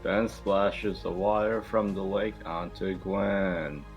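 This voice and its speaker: A man's voice: deep voice, fairly even intonation, slow pace, husky, highs cut off, soft background music. A man hosting and narrating an amateur table read, informal and sometimes stumbling over the script. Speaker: host and narrator.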